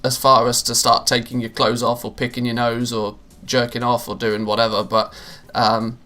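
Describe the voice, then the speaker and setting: A man's voice: medium pitch, flat delivery, moderate pace, pushed and bright, faint music behind. A man streaming live and chatting casually with his viewers, rambling with many restarts and fillers.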